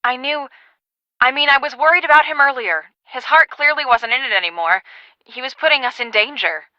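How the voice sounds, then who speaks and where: A woman playing an earnest, take-charge storm-chasing project manager in a video game, speaking in a brisk, businesslike way. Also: high-pitched, dry recording, lo-fi sound, close to an everyday voice. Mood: regretful.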